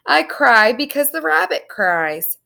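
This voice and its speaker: Lady playing a helpful fox in a kids story, earnest, raised pitch, unhurried. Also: big pitch swings, gravelly, pushed and bright, exaggerated voice. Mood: sorrowful. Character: concerned, willing male fox.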